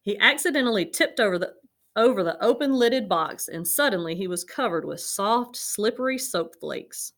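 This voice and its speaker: A woman's voice: mid-range voice, animated, normal speed, a bit bright. Woman reading a children's storybook. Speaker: storybook reader. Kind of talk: children's read-aloud narration.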